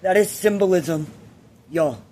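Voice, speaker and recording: A man tells a personal story with a moral in an earnest, confessional way, halting and deliberate between short phrases. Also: high voice, mellow.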